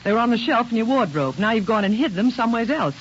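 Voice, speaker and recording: An actress playing a scolding, practical middle-aged small-town mother in an old-time radio drama, brisk and disapproving. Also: fairly high pitch, animated, lightly acted. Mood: stern scolding. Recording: hissy, dull vintage radio recording.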